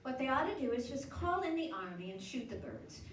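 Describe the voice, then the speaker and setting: A woman's voice: high-pitched, sing-song, brisk. A woman acting a fretful, practical, skeptical housewife and mother in a radio drama. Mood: doubtful, fussy. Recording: roomy, distant, noisy amateur radio-play recording.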